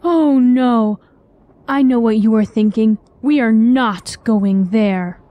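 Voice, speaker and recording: A woman's voice: on the high side, slow, highs cut off. An actress playing a reluctant, comfort-loving woman traveler in a fantasy radio drama, fretful and plaintive.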